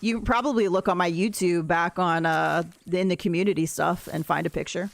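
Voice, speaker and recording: A woman with a deep voice, chatting casually and rambling while she plays a game on a livestream and answers her chat. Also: steady tone, fairly quick, vocal fry, faint music behind. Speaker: streamer. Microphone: desk mic, no camera.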